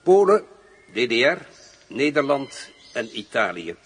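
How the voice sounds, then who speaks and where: An actor playing a wary, suave general in a radio drama, speaking in a theatrical, insinuating way. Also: male voice, medium pitch, sing-song, unhurried, breathy edge. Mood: cunning, businesslike. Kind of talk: Dutch radio drama acting. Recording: noisy old radio drama recording.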